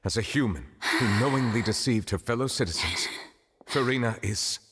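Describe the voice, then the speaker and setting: An actor playing a solemn chief justice in a video game, speaking in a formal, measured, authoritative manner. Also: male voice, medium pitch, heavy background noise, natural voice. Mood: solemn, grave. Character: solemn, formal male chief justice presiding over court. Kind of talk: dramatic video game voice acting.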